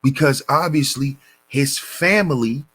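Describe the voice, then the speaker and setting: A man hosting a commentary channel, reading a news story and lecturing on it in a deliberate, preachy way, calling his listeners brothers. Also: mid-range voice, fairly bright tone, husky.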